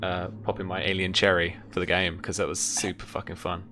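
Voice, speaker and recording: A man's voice: low-pitched, level tone, brisk, background noise. A man in his thirties chatting casually and enthusiastically as a game host, swearing freely, close to the mic on a video call. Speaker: game master and channel host. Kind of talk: casual post-game chat. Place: indoor room at home, on a video call.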